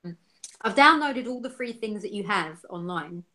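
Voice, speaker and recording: A woman's voice: medium pitch, expressive, moderate pace, crowd noise. A woman from the UK, a designer, talking frankly in a casual interview, full of fillers and restarts. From United Kingdom. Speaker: designer interviewed as software user.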